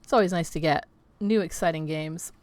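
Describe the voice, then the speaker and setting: Young adult woman, casual livestream host, slightly scratchy, lower voice, chatty and meandering. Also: fairly quick, darkish tone, dry recording. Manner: rambling, filler-heavy, chatty. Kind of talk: casual chat with viewers. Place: home streaming setup with green screen.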